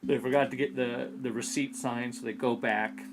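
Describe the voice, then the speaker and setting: A man's voice: mid-range voice, fairly even intonation, moderate pace, over music. A man co-hosting a casual fan podcast, talking things through inquisitively, recapping and posing questions. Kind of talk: casual discussion of an audio drama.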